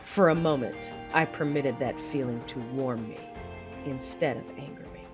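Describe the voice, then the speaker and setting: A woman's voice: a bass voice, moderate pace, over music. A woman playing a fierce, conflicted Sith woman who narrates a sci-fi audio drama with controlled, brooding drama. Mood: simmering passion.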